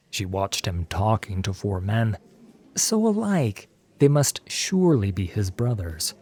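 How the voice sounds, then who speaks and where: A man reads a dramatic scripted story as narrator. His voice is dark and slow, with wide swings of pitch and deliberate phrasing. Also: dry recording.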